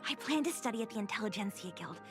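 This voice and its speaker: A woman's voice: medium pitch, animated, quick pace, soft background music, lightly acted. A woman voicing a studious, easygoing young student girl in an anime game. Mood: eager.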